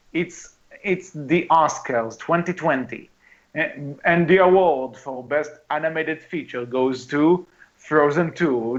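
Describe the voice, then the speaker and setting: A man's voice: fairly high pitch, fairly bright tone, highs cut off. A man co-hosting a casual movie podcast, chatting in an exclamatory way. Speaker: podcast host.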